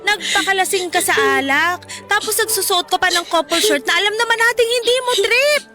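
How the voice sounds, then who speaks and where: A woman's voice: extremely high pitch, a little fast, loud music behind the voice. An actress playing a blunt, protective young woman scolding as a best friend, in Filipino radio drama with a Filipino accent. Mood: indignant, scolding.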